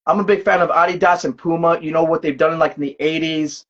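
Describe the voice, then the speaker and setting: Earnest forties co-host, slightly high voice, muffled lo-fi sound. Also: male voice, steady tone, quick pace, fairly bright tone. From US West Coast. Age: 40s. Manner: earnest, repeats words. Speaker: podcast co-host. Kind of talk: casual interview.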